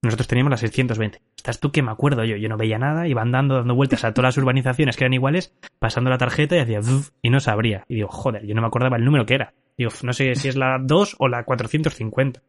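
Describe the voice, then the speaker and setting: A man's voice: mid-range voice, fairly even intonation, racing, hardly any pauses. A man hosting a casual podcast, telling a drunken travel anecdote with frequent laughs and swearing. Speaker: podcast host. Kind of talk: casual chat, storytelling.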